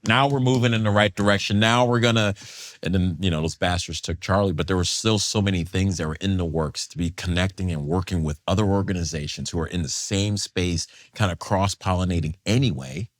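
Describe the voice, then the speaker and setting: A man's voice: deep voice, fairly quick, no room sound, clean studio sound. A man telling stories animatedly as a guest on a casual panel talk show, acting out quotes. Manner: animated storyteller, acts out voices.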